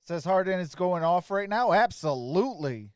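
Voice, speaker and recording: Male stream host, lightly raised pitch, dry close sound. Informal, off-the-cuff play-by-play and chat. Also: expressive, moderate pace, a little mellow, clean tone, no room sound. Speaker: live stream host calling a basketball game.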